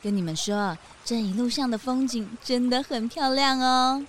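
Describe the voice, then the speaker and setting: A woman with a Taiwanese Mandarin accent voicing a gracious, welcoming female sika deer in a children's audio drama, speaking in a gentle, hospitable manner. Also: a little high, normal speed, a little hiss or hum, lightly acted.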